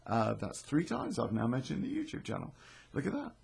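A British man with a hoarse, croaky voice, hosting a podcast in a rambling, self-deprecating, sweary way. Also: mid-range voice, wildly swooping pitch, a little fast, a little mellow. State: hoarse from illness. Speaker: podcast host and storyteller. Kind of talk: casual chatty intro to a podcast.

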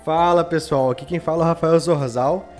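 Higher-voiced Brazilian man chatting as podcast guest. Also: a little fast, soft background music. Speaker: podcast guest, audio drama creator. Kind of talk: casual interview chat.

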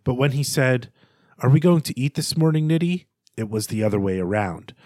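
A man's voice: mid-range voice, animated, brisk, a little mellow, no room sound. Thoughtful man hosting a podcast, close dry sound. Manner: thoughtful, articulate, hesitant with stammered repeats. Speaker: podcast host. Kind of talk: literary analysis in conversational monologue, with quoted passages.

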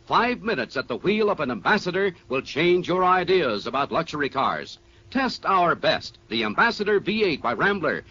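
A man's voice: fairly high pitch, sharp tone. An American man reading a 1950s radio advertisement in a polished, emphatic announcer style. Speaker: commercial announcer. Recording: dull vintage radio broadcast.

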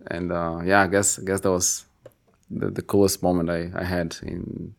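A man casually telling a story on a podcast, relaxed and full of small restarts. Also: low voice, moderate pace, vocal fry. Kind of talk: casual conversation.

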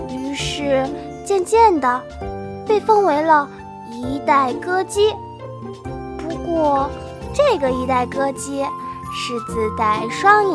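A woman narrating a light comic online radio drama in a scripted storytelling style. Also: extremely high pitch.

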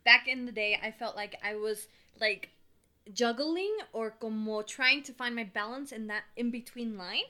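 A woman co-hosts a podcast, affirming her partner often and mixing Spanish phrases into her English. Her voice is a bit high and bright. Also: normal speed. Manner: affirming, frequent "uh-huh", mixes Spanish in. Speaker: podcast co-host. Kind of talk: casual podcast chat.

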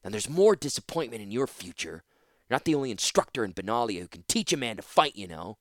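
A man's voice: medium pitch, very animated, quick pace, no echo, studio quality, natural voice. A man voicing a furious young male fighter who snarls threats. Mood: snarling.

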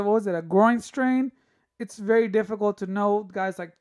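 A man with a high-pitched voice, a little raspy from a lingering cough, hosts a solo sports podcast. He talks in a loose, opinionated way, thinking aloud with plenty of fillers. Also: darkish tone. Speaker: podcast host.

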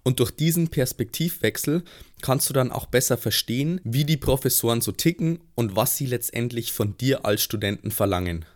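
A man's voice: mid-range voice, lively intonation, moderate pace. A young man hosting a podcast, greeting listeners and putting carefully phrased questions to a guest. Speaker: podcast host. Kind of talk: podcast intro and interview questions.

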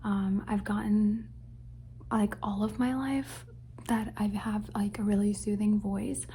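A young woman whispers through a rambling ASMR question-and-answer session recorded on a phone, her voice mellow and dark. She trails off into soft repeated words as triggers, with a faint hiss underneath. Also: medium pitch, steady tone, slow. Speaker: ASMR creator.

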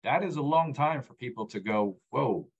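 A man's voice: medium pitch, animated, moderate pace, husky, muffled recording. A man speaking as a podcast guest, explaining his data work earnestly and repeating his main points with frequent restarts. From Egyptian background, raised abroad. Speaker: guest, founder of a data platform. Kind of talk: conversational podcast interview.

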